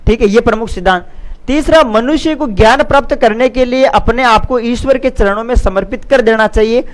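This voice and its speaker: A man's voice: extremely high pitch, brisk. A man gives an exam lesson as an online coach. He alternates reading out answers with informal explanation. His manner is didactic, with repeated phrases and frequent checks on understanding.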